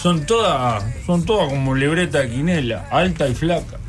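A man's voice: fairly high pitch, lively intonation, normal speed. A man with a Uruguayan accent in casual comic banter as a radio host, telling things in a wry, colloquial way.

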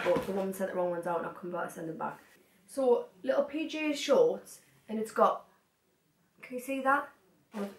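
A young woman sitting on her living room floor, chatting excitedly to a camera set some distance away and rambling off into asides. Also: medium pitch. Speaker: vlogger. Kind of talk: casual haul chat to camera. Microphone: far camera. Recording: echoey room, mic at a distance.

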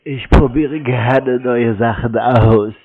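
A man's voice: mid-range voice, fairly even intonation, slow. Naive-sounding man on a tinny phone line. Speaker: prank call recipient playing along. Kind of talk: casual phone chat. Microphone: telephone.

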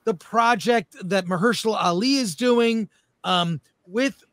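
Emphatic high-pitched man hosting a debate. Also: unhurried, fairly bright tone, no echo. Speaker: host of a film debate show. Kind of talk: panel debate, casual.

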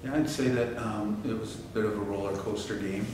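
A middle-aged man, a head coach, answering postgame questions with halting restarts and fillers. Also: on the low side, fairly even intonation. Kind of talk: postgame interview. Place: press room at a table. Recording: echoey room from a distance, background hiss.

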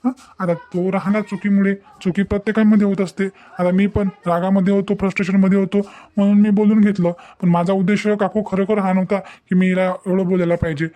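A man plays an earnest, apologetic young husband in a cartoon family story. His voice sits high yet has a mellow, muted tone, and he pleads over and over. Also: level tone, fast talker, close to an everyday voice. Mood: remorseful. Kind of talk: cartoon domestic story dialogue.

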